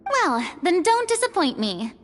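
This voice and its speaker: High-pitched, theatrical, haughty young lady. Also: very animated, measured pace, exaggerated voice. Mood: haughty, teasing. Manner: grandiose, dramatic, teasing. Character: theatrical, proud young woman, grandiose and haughty. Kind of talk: video game character acting.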